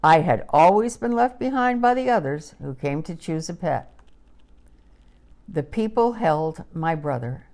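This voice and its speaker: A gentle little girl puppy voiced by a woman tells a children's story in a lowish voice. She takes her time and lets the pitch rise and fall expressively, with a wistful, simple innocence. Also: animated, measured pace. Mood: wistful, lonely. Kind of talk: children's audio story narration.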